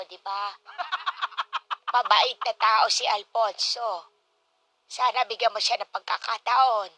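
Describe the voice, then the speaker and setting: A woman's voice: fairly high pitch, pushed and bright, dry recording, poor audio. A woman playing a loving older mother who pleads to remarry, in a Tagalog radio drama.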